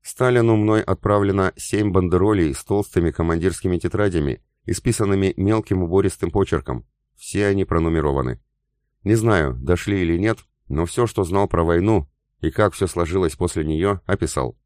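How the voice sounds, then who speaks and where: Low-voiced man reading a novel. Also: normal speed, darkish tone, no echo, studio quality. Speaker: audiobook narrator. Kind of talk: first-person scripted narration.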